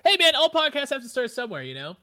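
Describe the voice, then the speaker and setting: A man from the Midwest hosting a casual podcast, with a nasal voice, rambling and excitable, rising high when he gets worked up. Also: fast. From American Midwest. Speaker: podcast host.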